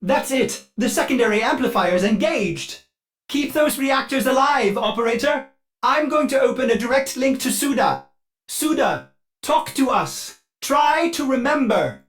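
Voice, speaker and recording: A man's voice: very high-pitched, expressive, a little slow, fairly bright tone, exaggerated voice. A male actor voicing a devoted, anxious ship AI for a video game, speaking formally and theatrically through glitchy synthetic processing. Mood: urgent. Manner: theatrical, earnest, formal servile phrasing. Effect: synthetic glitchy robot voice processing. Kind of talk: video game character dialogue.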